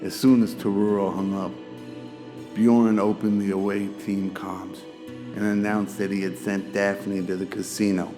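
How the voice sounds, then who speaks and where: A man's voice: lowish voice, level tone, measured pace. A man narrating a scripted radio drama as its storyteller. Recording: music behind the voice.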